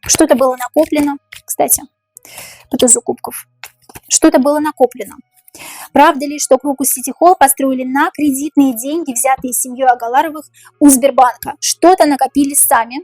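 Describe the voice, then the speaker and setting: A woman doing a tarot reading, repeating each question slowly and musing aloud over the cards, her voice tiring and going hoarse. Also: high-pitched, fairly even intonation, a little mellow, no echo, poor audio. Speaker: tarot reader.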